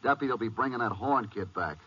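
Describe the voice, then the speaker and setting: An actor in an American radio western playing a hot-tempered, domineering jail inmate with curt, rough speech. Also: male voice, mid-range voice, animated, a little fast, pushed and bright. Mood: bossy, urgent. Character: bullying, hot-tempered male jail inmate plotting a breakout. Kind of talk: old-time radio drama acting. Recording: dull old radio transcription.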